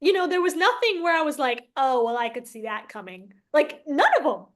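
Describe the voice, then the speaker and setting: A woman's voice: high-pitched, lively intonation, a little fast. A woman hosting an interview as a casual chat, informal, curious and enthusiastic. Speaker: interview host.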